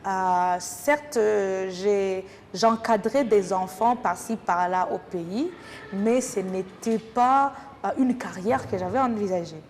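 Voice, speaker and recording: A woman from Rwanda, a teacher talking thoughtfully in an interview with many pauses and fillers. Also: medium pitch, a little mellow. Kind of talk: casual interview talk. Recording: reverberant room with faint noise.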